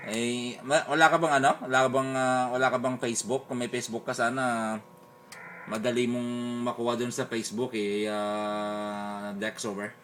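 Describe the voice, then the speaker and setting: A man with a Filipino accent talking casually as an amateur radio operator, in a hesitant, halting way. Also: medium pitch, level tone, a bit bright, a little hiss or hum. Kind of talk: casual two-way radio chat. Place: beside a radio set, recording it on a phone.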